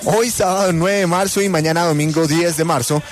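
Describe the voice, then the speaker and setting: A man hosting a Colombian radio show, with energetic, colloquial promotional patter. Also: a little high, brisk, faint music behind. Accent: Colombian Spanish. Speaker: radio host.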